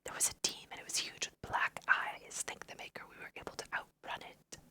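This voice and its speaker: A frightened human trespasser from a fantasy game, read by a woman, timid and pleading. Every line comes in a bright, breath-only whisper. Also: brisk. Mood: nervous.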